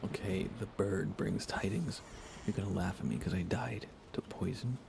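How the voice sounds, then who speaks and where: A man chats casually as he live streams a game for an ASMR audience. His voice is fairly low with plenty of vocal fry, and he keeps it hushed and soft. Clear background noise and ambience sit behind him. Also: moderate pace. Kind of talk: casual ASMR gaming chat, replying to live viewers.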